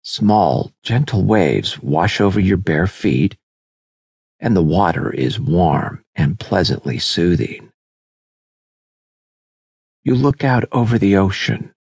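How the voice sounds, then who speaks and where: A man narrating a scripted guided meditation in a soothing, measured, unhurried manner. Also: low voice, lively intonation, vocal fry, a little mellow, husky, dry recording, clean studio sound. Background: background music.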